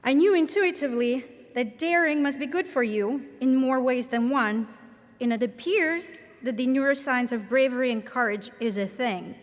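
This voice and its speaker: A woman in her thirties with a Latvian accent, giving a formal commencement address in a measured, earnest, deliberate way. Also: high voice. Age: 30s. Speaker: commencement speaker, professor. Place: large ceremony hall. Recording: reverberant hall sound.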